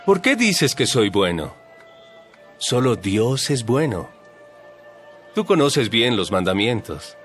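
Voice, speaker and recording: A calm, authoritative holy teacher in an audio Bible drama. He delivers solemn, measured lessons with widely varied intonation and firm emphasis. Soft music plays behind him. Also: mid-range voice. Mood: solemn instruction.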